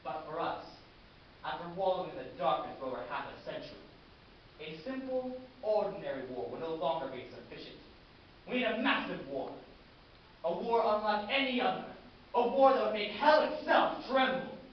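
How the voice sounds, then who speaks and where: A man playing a fanatical, war-loving military commander in a grandiose, savoring theatrical monologue, addressing his battalion with deliberate oratory. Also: fairly high pitch, big pitch swings. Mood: fierce, defiant. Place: large echoing room, festival stage performance. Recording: echoey hall from far off, muffled, hissy.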